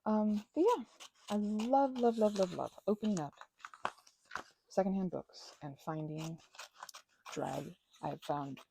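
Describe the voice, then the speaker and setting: A woman's voice: medium pitch, slow, creaky, long pauses, dark tone, slight background noise, poor audio. A woman chatting casually to viewers in a rambling, effusive way, often repeating words for emphasis. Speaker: crafting vlogger and ASMR creator.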